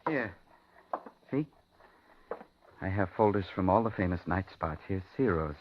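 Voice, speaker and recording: Low-voiced, unhinged radio thriller captor. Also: male voice, lively intonation. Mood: childish pride. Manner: deliberate, childlike boasting with polite menace. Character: unhinged, menacing male captor, childishly proud collector. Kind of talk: 1950s radio thriller acting. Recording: dull, faintly noisy 1950s radio recording.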